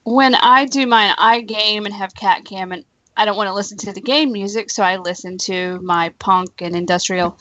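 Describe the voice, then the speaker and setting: A woman's voice: mid-range voice, normal speed, few pauses, fairly bright tone, dry recording, lo-fi sound. A woman streamer joining a casual chat, curious and asking questions. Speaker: guest, streamer.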